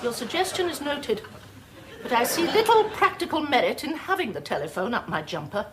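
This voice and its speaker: An actress playing a pompous, snobbish middle-aged housewife who talks in an affected, over-enunciated and condescending way. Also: female voice, high-pitched, sing-song, brisk. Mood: haughty. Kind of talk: 1990s British TV sitcom acting. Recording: noisy TV sitcom track with audience laughter.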